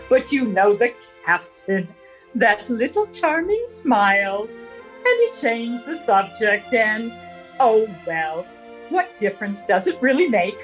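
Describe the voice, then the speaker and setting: An actress playing a snobbish, scolding older aunt in a prim, fretful manner, in 1930s radio drama style. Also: female voice, fairly high pitch, low-quality recording. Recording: 1930s radio recording with music.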